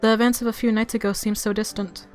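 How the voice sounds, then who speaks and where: A woman reading visual novel narration aloud in an expressive, easily flustered way, as a let's play streamer. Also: fairly high pitch, fast talker. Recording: music playing behind the voice. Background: game music.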